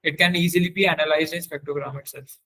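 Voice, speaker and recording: A man teaching in a webinar, demonstrating software step by step and often halting to search for words. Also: fairly high pitch, steady tone. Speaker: webinar trainer.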